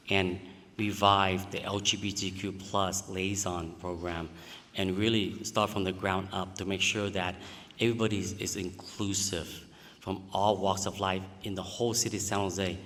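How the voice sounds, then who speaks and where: A man's voice: deep voice, fairly even intonation, moderate pace, a bit bright. A man from Vietnam, answering at a candidate forum in plain, slightly broken phrasing. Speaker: city council candidate. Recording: reverberant hall.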